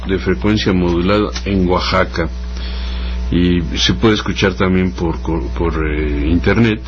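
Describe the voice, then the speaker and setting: A man with a Mexican accent hosting a radio program, talking off the cuff in a halting, hesitant way and repeating words as he goes. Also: deep voice, steady tone, soft background music. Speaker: radio host. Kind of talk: unscripted radio talk introducing music. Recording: dull lo-fi radio sound, faint hum.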